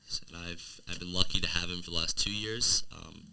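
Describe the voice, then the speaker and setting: Teenage male podcast host: deep pitch with a forceful, very bright edge, casual interviewing style. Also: steady tone, brisk, vocal fry, smooth, rough recording. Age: teens.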